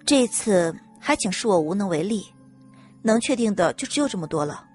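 A woman voicing a cold, composed young noblewoman examiner in an audio drama, flat and unyielding. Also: mid-range voice, normal speed, soft background music, close to an everyday voice. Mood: cold, curt.

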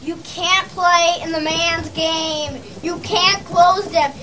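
A child performs a bullying sales boss in a theatrical puppet parody, with a strikingly high voice. The delivery is brisk, emphatic and full of scornful taunts, picked up at some distance over faint noise. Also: fairly even intonation. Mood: contemptuous, hectoring. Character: ruthless, bullying sales boss berating his underperforming salesmen.

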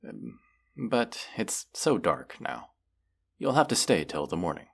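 Man voicing a very deep, gravelly, proud dragon. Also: very animated, full character voice. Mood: coy, possessive. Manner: haughty, deliberate, formal phrasing with menacing undertone. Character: proud, mighty male dragon, haughty but softening. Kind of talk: fantasy roleplay audio acting.

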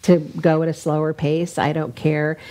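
A woman in her fifties hosting a casual chat on a studio set, animated, laughing often and acting out quotes. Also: low voice, normal speed. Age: 50s. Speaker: talk show host. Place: indoor TV studio set, seated at a café table.

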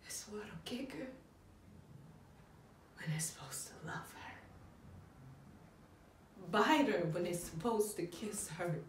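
A woman's voice: fairly low pitch, animated, slow, pauses often. A woman acting a dramatic stage monologue as an embittered, wounded young mother, raw and emotional, dropping into near-whispers. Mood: weary, anguished. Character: embittered, wounded young mother confronting her child's absent father. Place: echoey room. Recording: echoey room, distant mic, faint noise.

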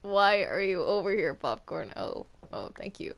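A person chatting casually while playing a video game with others, in a hesitant, halting way. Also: medium pitch, very animated, gravelly. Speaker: video game player in a group roleplay.

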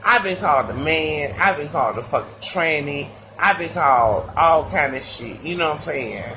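Woman, deep voice, stammering, profane rant, phone video call, noisy line. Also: animated, moderate pace, sharp tone. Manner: stammering, restarts often. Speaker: live stream call-in guest. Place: indoors, lying on a couch.

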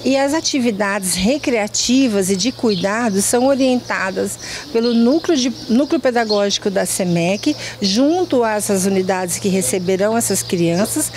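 A middle-aged Brazilian woman, an official giving explanatory answers in an outdoor interview. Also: on the high side. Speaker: education department director. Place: outdoors under a covered yard. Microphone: reporter's handheld microphone. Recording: faint outdoor background noise.

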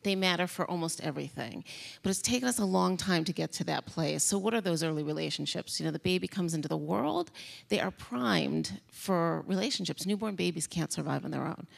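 A woman's voice: fairly low pitch, animated, fairly quick, vocal fry. A woman in her forties, a child development expert on a conference panel, explaining things emphatically into a handheld mic on a theater stage. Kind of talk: panel discussion.

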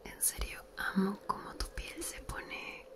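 A woman whispering as a flirty cousin. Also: natural voice. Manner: whispering close and slowly. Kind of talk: ASMR whispered roleplay. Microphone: close binaural mic.

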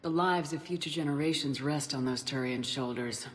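A woman's voice: low voice, moderate pace, natural voice. Firm female commander in long reverb woman acting. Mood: resolute, encouraging. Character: resolute, compassionate female military commander. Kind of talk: video game cutscene dialogue.

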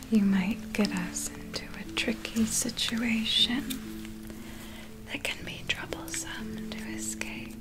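Woman playing slow-whispering ancient vampire seductress. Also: mid-range voice. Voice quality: breathy whisper. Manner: slow, deliberate, soothing, intimate, controlling. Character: ancient female vampire, seductive, possessive and doting mentor. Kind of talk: ASMR roleplay.

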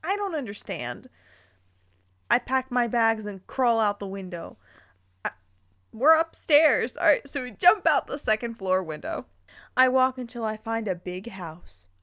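A woman's voice: on the high side, lively intonation, a little slow, fairly bright tone. A woman hosting a dramatic read-aloud of fan fiction, breaking in with playful, incredulous commentary. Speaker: host reading and reacting to fan fiction.

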